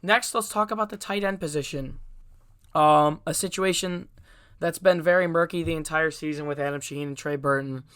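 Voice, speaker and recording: A man's voice: on the high side, normal speed, a bit bright, clear, resonant tone, dry recording, studio quality. Congested young man hosting a sports podcast. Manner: casual, opinionated, frequent "uh" and "um". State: congested from a cold. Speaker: podcast host. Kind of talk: solo sports podcast commentary.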